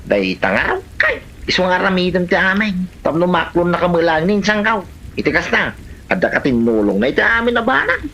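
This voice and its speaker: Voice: mid-range voice, sing-song, brisk, gravelly, fairly bright tone, heavily acted. An actor playing a meddling, plain-spoken relative in an Ilocano comedy drama, with a Filipino Ilocano accent and a nagging manner. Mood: matter-of-fact.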